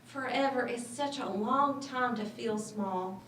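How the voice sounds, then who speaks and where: A woman reading a children's story aloud with expressive, dramatic phrasing. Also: fairly high pitch, slow. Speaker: storybook reader. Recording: roomy, distant mic, faint noise.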